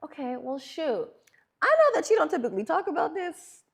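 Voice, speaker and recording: A woman's voice: high-pitched, very animated, normal speed, gravelly. A woman hosting a talk show in a casual, chatty way with informal, playful asides.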